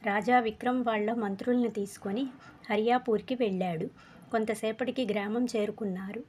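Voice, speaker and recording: A woman's voice: on the high side, fairly even intonation, moderate pace, a little mellow, breathy edge. A woman narrating a scripted children's story.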